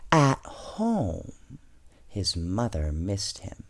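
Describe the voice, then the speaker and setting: A man reading children's storybooks aloud in an expressive, measured way, with casual asides to his young listeners. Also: deep voice. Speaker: bedtime story reader, host.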